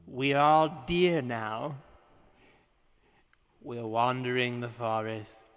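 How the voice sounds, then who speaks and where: In a reverberant room with music and faint crowd, a man plays a serene new-age meditation guru. The delivery is very slow, solemn and hypnotic, with stubborn, commanding pauses, in improvised comedy style. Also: mid-range voice, fairly bright tone, clear, resonant tone.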